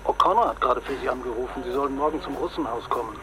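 An actor playing a brisk subordinate passing on messages to the sheriff in a radio drama. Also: male voice, mid-range voice, a crowd in the background, natural voice.

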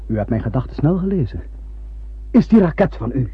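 An actor in a radio play voicing a mysterious, ominous prophet, theatrical and insistent, firing off rhetorical questions and warnings. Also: male voice, medium pitch, very animated, brisk, breathy edge, no echo. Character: mysterious, ominous prophet warning young spacemen off a doomed voyage. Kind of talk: radio drama acting. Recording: dull, dry old radio play recording.

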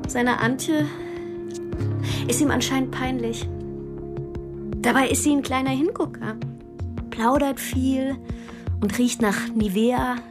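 An actress playing an ambitious, sardonic young actress in a radio drama, speaking in a wry, pointed way. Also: female voice, a little high, close to an everyday voice. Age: young adult. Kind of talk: radio drama dialogue and diary monologue. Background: music.

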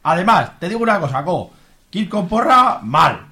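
A man playing a crude, excitable young joker in a radio drama, clownish and colloquial with an Aragonese accent. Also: high-pitched, moderate pace, full character voice. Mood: mock-serious.

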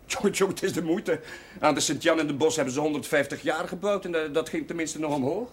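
A man playing a warm, talkative and idealistic young village chaplain in a television drama, hesitant and musing but easily worked up. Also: a little high, a little fast, close to an everyday voice. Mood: musing, awed. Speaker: actor in a television drama series. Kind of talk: naturalistic television drama dialogue. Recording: noisy old TV soundtrack, clattering dishes.

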